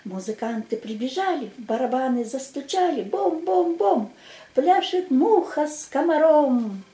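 An older woman reciting a children's verse tale in a theatrical, drawn-out and emphatic way. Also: sits high, lively intonation, dark tone. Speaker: amateur children's storyteller with a plush puppet. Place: small room at home. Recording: echoey home room recording.

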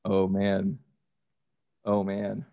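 A man's voice: fairly low pitch, completely flat delivery, very slow, narrow, tinny audio. A man in his thirties chatting casually on a podcast, close to the microphone. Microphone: close microphone, headset on.

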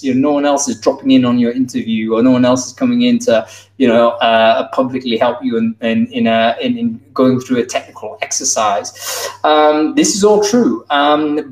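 A man's voice: medium pitch, darkish tone. A man in his thirties giving a conference keynote over a video call, talking in a loose conversational way with fillers and restarts. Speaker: keynote speaker. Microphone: video call, wired earbuds. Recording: roomy, distant video-call sound.

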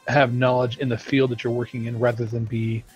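A man's voice: mid-range voice, flat delivery, fairly quick, breathy edge, lo-fi sound, soft background music. Talkative man explaining at length. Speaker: game studio team member on stream. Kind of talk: casual stream chat.

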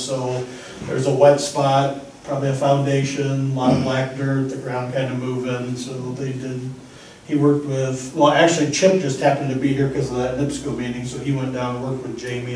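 A man's voice: mid-range voice, darkish tone. A man giving an economic development report at a council meeting, casual and rambling. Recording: echoey room from far off with faint noise.

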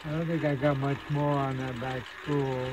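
A man casually narrating a hands-on repair demonstration at his workbench, with an unhurried, folksy manner. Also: mid-range voice, flat delivery. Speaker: hobbyist host demonstrating radio repair. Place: indoor electronics workbench. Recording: clear background machine whir and noise.

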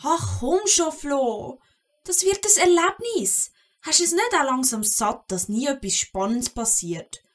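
Woman as a lively high-voiced Swiss gnome girl. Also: measured pace, recorded from a distance. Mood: teasing, cajoling. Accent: Swiss German. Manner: eager, cajoling, teasing. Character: bold, adventurous little gnome girl. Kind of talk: children's audio play.

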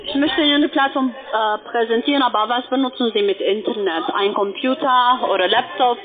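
A woman who is a non-native speaker, making a sales pitch as a call centre agent. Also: brisk, crowd noise. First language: not German. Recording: narrow, tinny phone line.

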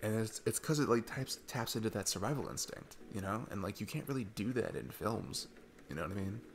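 A man's voice: lowish voice, expressive, brisk, gravelly, a little hiss or hum. A man playing a sweet, earnest, geeky single dad on a date, rambling and hesitant in an intimate ASMR roleplay. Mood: excited, earnest.

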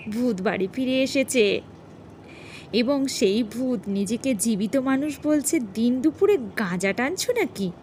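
A woman's voice: on the high side, animated, measured pace, a little mellow, a little hiss or hum. A woman voicing a blustering, incredulous male police officer in charge, scolding and questioning emphatically in an audio horror story. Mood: mocking.

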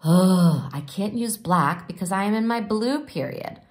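A woman's voice: mid-range voice, lively intonation, a little slow, darkish tone, close to an everyday voice. A woman voicing an earnest, artistic young schoolgirl in a storybook, moody and solemnly precocious. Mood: gloomy, dramatic. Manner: solemn, declarative, a little precocious.

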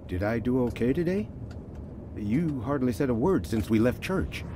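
An actor playing a caring, middle-aged pastor husband, reassuring and concerned, in an audio drama. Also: male voice, mid-range voice, expressive, normal speed, background noise, natural voice. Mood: worried.

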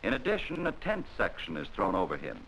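A man narrating a 1940s military training film in a measured, instructional way, on an old film soundtrack. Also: fairly low pitch, wildly swooping pitch, vocal fry, a bit bright, slight background noise, poor audio.

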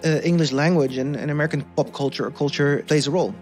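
A man's voice: a little high, fairly even intonation, fast, darkish tone. Young Dutch-accented man, lightly raised voice, reflective guest with faint music under him. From Netherlands. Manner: thoughtful, many restarts and fillers, laughs easily. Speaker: podcast guest. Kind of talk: casual conversational interview.

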